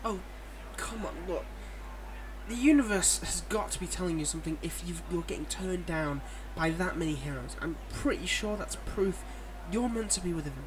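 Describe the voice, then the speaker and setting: Higher-voiced cocky, flirty villain acted by a man. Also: moderate pace, background noise, natural voice. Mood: smug, teasing. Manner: smug, teasing, coaxing and mock-defensive. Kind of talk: casual audio roleplay addressed to the listener.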